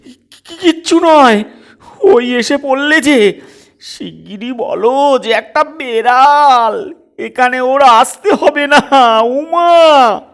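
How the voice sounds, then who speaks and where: A man's voice: extremely high pitch, very slow, a bit bright, voice pushed up, exaggerated voice. A man voicing an anxious, impatient young husband, urgent and sulky, in a comic audio story. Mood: panicked pleading. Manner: urgent, pleading, reproachful.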